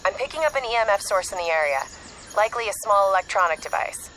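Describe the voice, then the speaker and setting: An actress playing a composed, businesslike intelligence operative in a video game, speaking in a clipped manner. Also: female voice, mid-range voice, lively intonation, fast talker, a bit bright, close to an everyday voice, rough recording. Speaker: mission handler. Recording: game music behind the voice.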